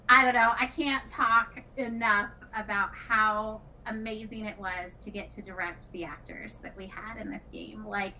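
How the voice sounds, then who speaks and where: Bright, high-voiced woman in casual conversation. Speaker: game writer and director. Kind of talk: casual developer chat. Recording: clearly noisy background.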